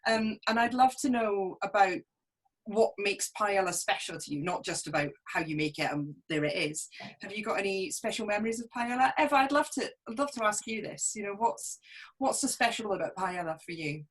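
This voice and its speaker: A woman's voice: mid-range voice, animated, fairly quick, low-quality recording. A woman moderating an online panel discussion, asking guests questions in a friendly way with frequent laughter.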